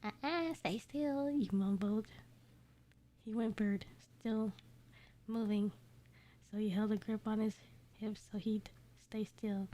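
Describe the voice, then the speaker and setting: Female streamer for casual fan fiction reading; relaxed, very unhurried, giggly, slips into breathy near-whisper. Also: medium pitch. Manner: giggly, self-censoring with playful substitutions.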